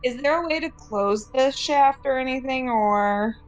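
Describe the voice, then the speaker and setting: A woman's voice: sits high, measured pace, soft background music. A woman chatting casually as a player in a tabletop game, asking about the scene.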